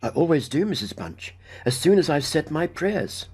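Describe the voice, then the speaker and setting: A man's voice: on the high side, very animated, normal speed, darkish tone, breathy edge, pitched above the performer's own voice. A man voicing a polite, earnest eleven-year-old boy in a storybook reading. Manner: well-mannered, earnest.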